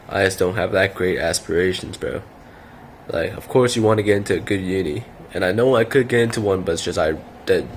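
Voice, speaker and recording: A man's voice: fairly low pitch, a little mellow, a little hiss or hum. Slangy teen guy ranting casually. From Chinese heritage. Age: late teens. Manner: slangy, swearing, loose and rambling, repeats himself. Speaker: vlogger. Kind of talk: casual talking-to-camera rant.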